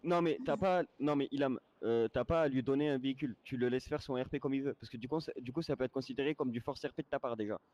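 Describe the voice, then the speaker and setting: Male game moderator, quick and insistent explainer, casual, over lo-fi voice chat. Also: medium pitch, darkish tone. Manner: insistent, repeats words. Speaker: game server staff moderator. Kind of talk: casual explaining and arbitrating in online roleplay game. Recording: dull lo-fi voice chat.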